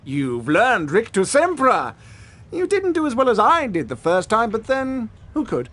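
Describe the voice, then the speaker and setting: An actor playing a vain, pompous celebrity wizard teacher in a video game, theatrical and self-congratulating. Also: male voice, very high-pitched, huge pitch swings, unhurried, a bit bright, exaggerated voice. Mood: smug, showy. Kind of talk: video game character acting.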